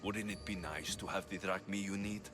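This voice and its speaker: A man's voice: low-pitched, fairly even intonation, normal speed, lightly acted. An actor playing a blunt, dry-humored male mercenary in a video game cutscene, with game music behind.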